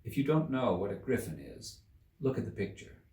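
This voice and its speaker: A man giving an expressive scripted narration of a story as its reader. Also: fairly low pitch, moderate pace. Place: room inside a museum. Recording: echoey room, distant mic.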